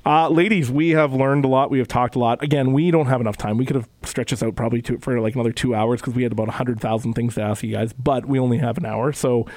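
A man's voice: medium pitch, steady tone, fast talker, hardly any pauses, darkish tone, no echo, poor audio. A man hosting a casual sports radio interview show from a studio, rambling and repeating words as he restarts sentences. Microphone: studio microphone.

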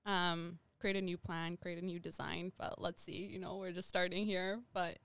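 A woman's voice: on the low side, little pitch movement, moderate pace, fairly bright tone, clear, resonant tone, no room sound. A young woman from Nepal, a guest in a casual interview, rambling and full of "like" fillers.

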